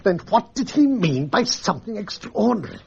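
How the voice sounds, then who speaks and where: A man's voice: high voice, big pitch swings, brisk, creaky, darkish tone, lo-fi sound, slight background noise, heavily acted. An actor playing a fussy, pompous and anxious male judge in a radio drama, with an English Received Pronunciation accent. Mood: fretful brooding. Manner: fussy, exclamatory, brooding. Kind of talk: BBC radio drama acting.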